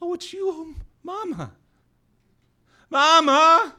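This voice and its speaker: A man's voice: very high voice, very animated, unhurried, voice pushed up, exaggerated voice. A man voicing an anguished, fevered young boy in a story, pleading and wailing.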